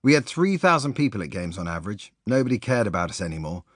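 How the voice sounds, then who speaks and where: Male narrator for non-fiction audiobook: lowish pitch, highly varied intonation, measured storytelling, close dry sound. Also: very animated, dry recording. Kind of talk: scripted narration of a sports biography.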